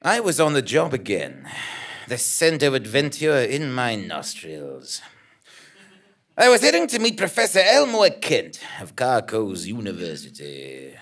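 An actor playing a self-important, bumbling investigative journalist, theatrical and pompous, in a live comedy audio drama. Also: male voice, medium pitch, wildly swooping pitch, unhurried, creaky, a bit bright, full character voice. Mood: dramatic. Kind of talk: live comedic audio-drama performance, first-person narration and dialogue. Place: on a small theatre stage before a seated audience. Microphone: stand microphone.

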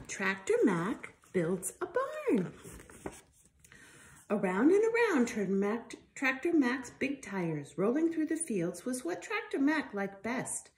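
A middle-aged woman reading a children's storybook aloud in an expressive, emphatic storytelling style. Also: fairly high pitch. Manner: animated, emphatic delivery with sound effects. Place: living room by a fireplace, indoors.

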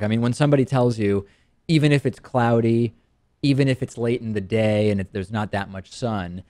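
A man's voice: mid-range voice, a little mellow, no echo. A man in his thirties hosting a talk show in a studio, chatting enthusiastically about a gadget and teasing his co-host. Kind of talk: casual product review chat. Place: TV studio set. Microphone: studio mic on a stand.

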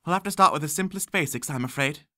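A man voicing a bookish, bossy young schoolgirl witch with a prim, brisk, lecturing manner. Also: a little high, pushed and bright, no room sound, studio quality, voice pushed up.

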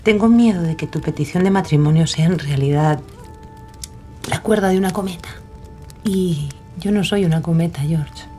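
An actress plays a determined woman aviator of the 1930s in an audio drama. She speaks with spirited assurance, and music runs clearly behind her voice. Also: lowish voice, moderate pace, mellow, lightly acted. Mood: earnest, defiant. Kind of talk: scripted audio drama acting and narration.

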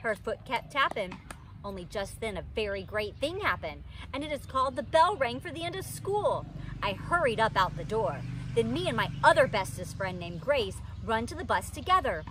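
A woman's voice: fairly high pitch, big pitch swings, moderate pace, a bit bright. A woman in her thirties, a teacher, reading a children's storybook aloud expressively outdoors. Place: outdoors in a wicker pod chair under trees. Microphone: camera a few feet away. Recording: outdoor ambient noise.

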